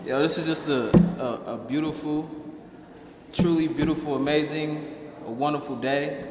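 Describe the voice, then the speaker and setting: A man's voice: fairly high pitch, fairly even intonation, normal speed. A man hosting a live event, introducing speakers in an informal, emphatic way and repeating words for effect. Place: large echoey hall, live event. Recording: echoey hall with background noise.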